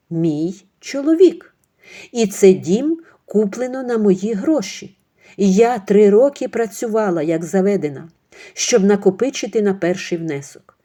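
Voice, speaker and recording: A woman voicing an angry young wife who speaks firmly and in measured, clipped phrases. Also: fairly high pitch, warm tone, voice pushed up.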